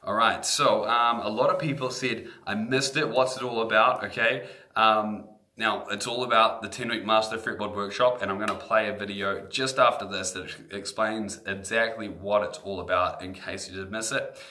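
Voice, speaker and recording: A man from New Zealand with a New Zealand accent, a guitar instructor making a casual sales pitch to camera and often asking "okay?". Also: steady tone, moderate pace, sharp tone.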